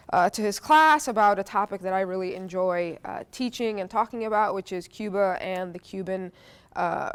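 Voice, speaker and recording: A woman's voice: medium pitch, smooth. A woman from New Jersey giving a chatty, interactive history lecture to a class, with a stuffy nose from allergies and frequent "um"s. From New Jersey, United States. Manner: chatty, asks the audience questions, frequent "uh" and "um".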